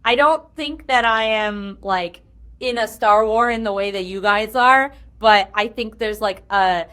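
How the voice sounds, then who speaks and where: A woman's voice: medium pitch, measured pace, fairly bright tone, clear, resonant tone. A woman speaking casually as an interview guest, informal and thinking aloud as she goes. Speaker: game writer, interview guest. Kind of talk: casual chat.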